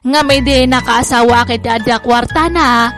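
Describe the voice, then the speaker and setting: A woman's voice: a little high, steady tone, moderate pace, music in the background, close to an everyday voice. A woman with an Ilocano Filipino accent, performing as host and player in a scripted radio drama. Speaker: drama channel host and narrator.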